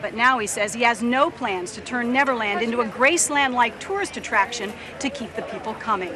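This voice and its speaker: A woman news correspondent narrating a scripted report in a crisp reporter delivery. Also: on the high side, expressive, a little fast, crowd noise.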